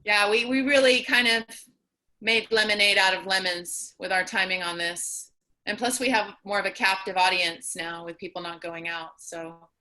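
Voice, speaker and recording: Bright-voiced woman in a casual Q&A. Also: medium pitch, steady tone, normal speed, rough recording. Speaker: playwright and performer in online post-show Q&A. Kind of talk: casual Q&A talk. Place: video call.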